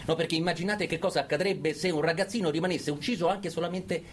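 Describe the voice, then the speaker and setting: An actor playing a fanatical political orator in a theatrical radio monologue, emphatic and full of dramatic pauses. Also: male voice, fairly high pitch, fairly even intonation, slight background noise, close to an everyday voice. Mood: measured, commanding. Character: fanatical male political orator urging brutal repression.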